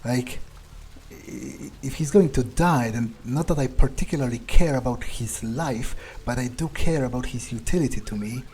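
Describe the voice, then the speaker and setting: A man's voice: mid-range voice, normal speed, a little mellow, slight background noise, poor audio. A man playing a game in character as a vampire, thinking aloud in a hesitant, self-interested, sweary way. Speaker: video game player narrating in character as a vampire.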